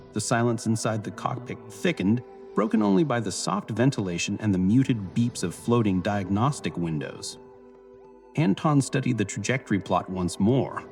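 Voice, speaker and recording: A man reading scripted cinematic science fiction narration in a measured, serious storytelling manner. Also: lowish voice, sing-song, creaky. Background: music bed.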